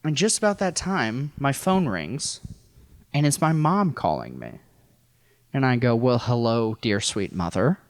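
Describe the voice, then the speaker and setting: A man's voice: mid-range voice, very animated, a little slow, dry recording. An American man from rural Missouri telling a personal story casually as a podcast guest, in a wry, self-deprecating, profane way. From rural Missouri, United States.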